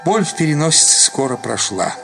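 Gravelly man narrating a literary story. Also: a little high, sing-song, a little fast. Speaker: narrator, actor. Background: music.

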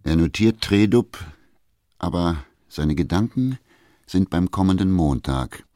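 A male narrator reading a radio drama in a measured, sober way. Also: low-pitched, expressive, dark tone, no echo, studio quality. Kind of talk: scripted narration.

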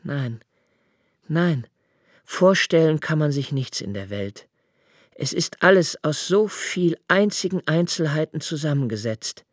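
An actor playing a melancholy, observant young man, narrating a literary radio drama in a measured, introspective way. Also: a little high, lively intonation, no echo, studio quality. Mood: absorbed, reflective. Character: melancholy, observant young man recalling his father's death.

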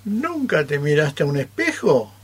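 A gentle male deer from a radio storybook, acted out by a performer, speaking slowly with a husky edge. His curious, concerned questions swing widely in pitch. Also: big pitch swings.

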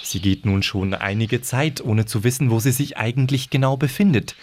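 A man's voice: medium pitch, moderate pace, background noise. A man narrating a scripted fairy tale as the storyteller of an audio drama.

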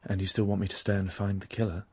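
An actor playing a calm, reassuring young investigator in a radio drama, soothing and gently questioning, on a noisy recording. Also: male voice, lowish voice, fast, husky, no room sound. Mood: gently probing.

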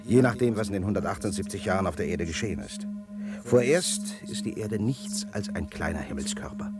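An actor playing an older, learned and bitter space-voyaging scientist in a science-fiction radio play, lecturing and sardonic. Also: male voice, fairly low pitch, big pitch swings, brisk, loud music behind the voice. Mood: sober, pessimistic.

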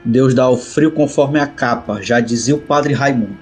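A man voicing a level-headed, practical bandit from the backlands in an audio drama, steady and folksy. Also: mid-range voice, normal speed, slight background noise, pitched above the performer's own voice, lightly acted. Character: level-headed male bandit leading his wounded companion. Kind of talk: audio drama character acting.

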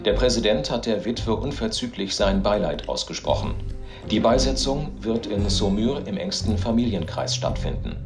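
An actor playing a male radio newsreader reading a bulletin in measured, formal tones. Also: fairly low pitch, animated, close to an everyday voice. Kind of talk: news reading in a radio drama. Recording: roomy broadcast sound with music.

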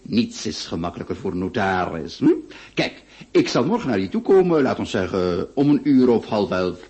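A man's voice: on the low side, sing-song, moderate pace, darkish tone. A man playing a jovial, overbearing middle-aged marquis in a radio drama, with a habit of repeating words for emphasis. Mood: persuasive. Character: jovial, domineering marquis pushing his daughter's marriage.

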